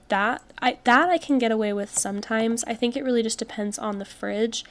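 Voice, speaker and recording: A young woman with a slightly high voice talks through her commentary in a loose, chatty way, full of fillers. Her voice is stuffy and congested from a cold. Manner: relaxed, chatty, many fillers. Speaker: game build commentator. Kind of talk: casual voice-over commentary.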